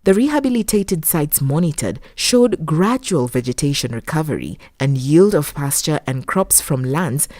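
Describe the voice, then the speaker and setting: A woman reading scripted documentary narration in a measured, formal manner. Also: low-pitched, sing-song, mellow, no room sound, clean studio sound.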